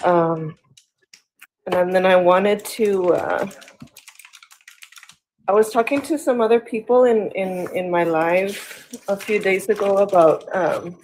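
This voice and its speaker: An American woman with a slightly raspy, under-the-weather voice, chatting hesitantly as a livestream guest and repeating her phrases. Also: mid-range voice, slow pace, long pauses, darkish tone, a little hiss or hum, rough recording. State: recovering from sickness, throat-clearing. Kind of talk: casual political chat.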